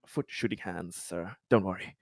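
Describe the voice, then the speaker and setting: Low-voiced man playing a tabletop game. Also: normal speed, husky, dry recording, clean studio sound. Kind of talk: casual in-character game chat.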